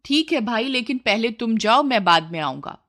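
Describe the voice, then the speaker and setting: A woman's voice: fairly high pitch, animated, normal speed, a bit bright, voice pushed up. A woman voicing a frightened village man in a moral story, pleading and exclaiming as he bargains. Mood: wary.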